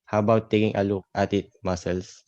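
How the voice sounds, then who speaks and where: A man's voice: lowish voice, little pitch movement, brisk, darkish tone, no echo, lightly acted. A man playing a skeptical, teasing older brother in an amateur radio play. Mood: eager. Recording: dull lo-fi dry recording.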